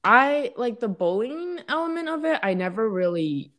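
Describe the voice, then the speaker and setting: Lively-voiced woman in reflective chat. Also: on the high side, normal speed. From United States. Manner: reflective, storytelling, asks questions. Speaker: conversation partner. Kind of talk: casual conversation.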